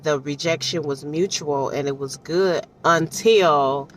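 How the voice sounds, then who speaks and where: A low-pitched woman talks off the cuff as a casual book reviewer, with some noise behind her. She is animated and colloquial, repeating phrases for emphasis. She keeps checking in with whoever is listening. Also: slow, low-quality recording. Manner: chatty, often checks in with the listener. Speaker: audiobook reviewer giving personal commentary. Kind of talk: casual, unscripted book commentary.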